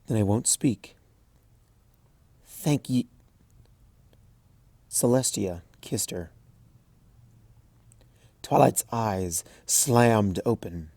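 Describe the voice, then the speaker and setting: A man reading a story aloud softly and evenly. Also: lowish voice, dry recording. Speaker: fanfiction reader.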